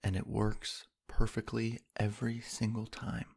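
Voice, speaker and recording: Male sleep-hypnosis narrator: lowish, husky with some fry, soft, unhurried delivery with long pauses. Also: monotone. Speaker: guided meditation narrator. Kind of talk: sleep hypnosis guided meditation, slow scripted narration.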